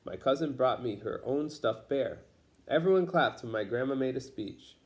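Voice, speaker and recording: A man reading a children's picture book aloud as a storyteller, pausing to explain things to young listeners. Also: medium pitch, lively intonation. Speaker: storybook reader.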